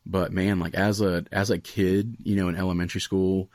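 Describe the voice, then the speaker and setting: Deep-voiced young American man, casual podcast talk. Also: level tone, moderate pace, darkish tone, no room sound, studio quality. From Raleigh area, United States. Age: late 20s. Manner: informal, earnest, peppered with "like" and "you know". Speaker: podcast host, student pastor.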